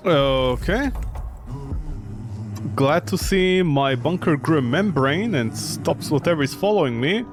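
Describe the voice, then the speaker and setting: A man's voice: on the high side, animated, a little slow, background noise. A man giving casual, joking, sarcastic commentary while he plays through a horror game. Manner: sarcastic, jokey.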